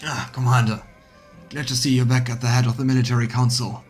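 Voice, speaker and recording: Man playing army captain, formal, serious, medium pitch, fantasy game briefing, faint music. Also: flat delivery, quick pace, lightly acted. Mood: serious, urgent. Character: formal military captain briefing his commander.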